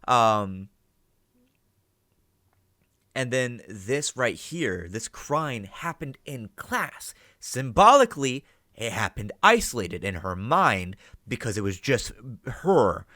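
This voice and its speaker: A man's voice: mid-range voice, big pitch swings, slow, bright, forceful tone, no echo, clean studio sound. A man giving casual reaction commentary as host, thinking aloud, swearing now and then and checking in with "right?". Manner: ends statements with "right?" as a check.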